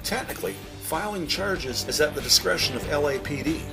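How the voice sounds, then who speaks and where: A man's voice: a little high, animated, fairly quick, gravelly. A man reading scripted narration for an audio crime drama. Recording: prominent music under the voice. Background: music.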